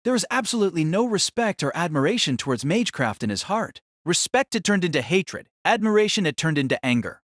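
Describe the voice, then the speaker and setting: A man's voice: high voice, very animated, fairly quick, few pauses, fairly bright tone, no room sound, studio quality. A man giving solemn, theatrical scripted narration of a fantasy novel.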